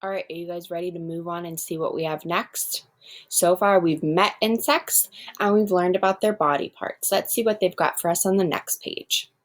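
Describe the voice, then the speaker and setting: A woman's voice: fairly low pitch, steady tone, normal speed. A woman teaching first graders in a read-aloud lesson, explaining step by step and speaking directly to her pupils.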